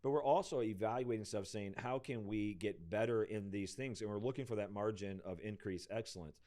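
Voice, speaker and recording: A middle-aged man with a hoarse voice, a pastor co-hosting a casual talk, thinking aloud with frequent restarts. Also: fairly low pitch, fairly quick, a little mellow. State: losing his voice. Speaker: pastor and podcast co-host. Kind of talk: casual conversational discussion.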